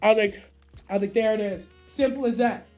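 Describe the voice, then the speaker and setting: A woman's voice: mid-range voice, fairly quick, a little mellow, faint music behind. A woman streamer telling a casual story in profane slang, acting out both sides of the dialogue.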